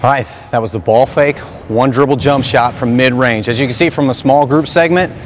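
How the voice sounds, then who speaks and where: A man's voice: medium pitch, a little fast, noisy background. A man in his thirties, a plain-spoken sports trainer, giving instructions for a drill in short coaching cues. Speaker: basketball skills trainer and coach. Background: music.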